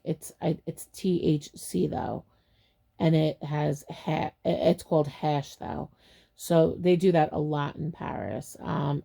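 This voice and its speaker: Low gravelly woman telling a story. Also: warm tone. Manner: rambling, chatty, acts out quoted reactions. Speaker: storytime channel host. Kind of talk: casual storytime monologue.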